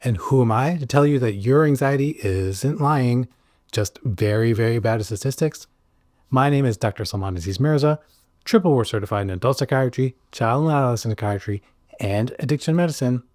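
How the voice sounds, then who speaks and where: A man narrating a scripted educational explainer as a psychiatrist host, stressing key words with dramatic pauses. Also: medium pitch, a little mellow, no room sound, studio quality.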